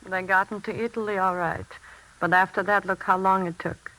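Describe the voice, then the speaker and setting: A woman's voice: mid-range voice, fairly even intonation, moderate pace, a bit bright, natural voice. An actress playing a motherly, fretful middle-aged neighbour in a 1940s radio drama, homely and coaxing. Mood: motherly, fussing. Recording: slightly noisy 1940s radio recording.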